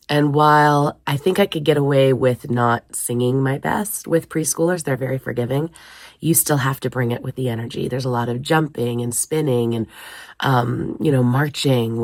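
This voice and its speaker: A woman with a scratchy, croaky voice from a head cold, chatting casually to camera in her basement craft room. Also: a bass voice, no room sound, clean studio sound. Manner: chatty, rambling, with frequent ums and small laughs. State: hoarse, congested from a head cold. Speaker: crafting vlogger.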